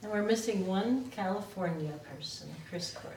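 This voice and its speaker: A woman's voice: medium pitch, normal speed, darkish tone. A woman college instructor introducing guests to her class in a plain, organized way. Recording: echoey classroom from far off, faint noise.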